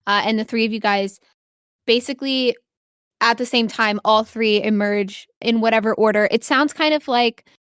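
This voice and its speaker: A woman's voice: a little high, moderate pace, fairly bright tone, clean tone, dry recording, studio quality. A woman casually running a tabletop roleplaying game as game master, explaining things informally with a giggly manner.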